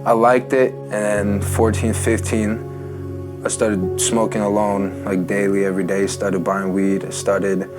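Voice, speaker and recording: A nineteen-year-old man telling his life story in a casual interview, halting and full of fillers and restarts. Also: lowish voice, flat delivery, music in the background. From Ukraine. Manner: halting, filler-heavy speech with many restarts. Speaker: interview guest sharing testimony. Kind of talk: casual personal testimony.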